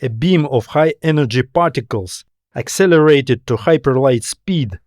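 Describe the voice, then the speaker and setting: A man narrating a scripted science fiction story in a deliberate, dramatic storytelling manner. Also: mid-range voice, lively intonation, a little mellow, dry recording, studio quality. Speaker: author and narrator.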